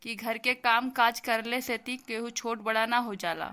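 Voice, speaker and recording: A woman's voice: fairly high pitch, fairly even intonation, normal speed, pushed and bright, clean tone. A woman voicing a principled young son in a cartoon, earnest and argumentative, with an eastern Hindi-belt accent. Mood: earnest, reasoning. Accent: eastern Hindi belt (Awadhi-Bhojpuri). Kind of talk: amateur cartoon voice acting.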